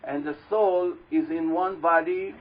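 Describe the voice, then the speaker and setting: A man with a loud voice giving a religious talk to a live audience, telling a story and putting questions to the listeners. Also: a little high, fairly even intonation, unhurried. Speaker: religious teacher speaking to an audience. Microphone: handheld or stand microphone. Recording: dull lo-fi live recording.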